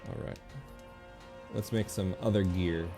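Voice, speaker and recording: A man giving casual video game commentary, thinking aloud in a relaxed, muttering way as he makes decisions. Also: deep voice, unhurried, music in the background.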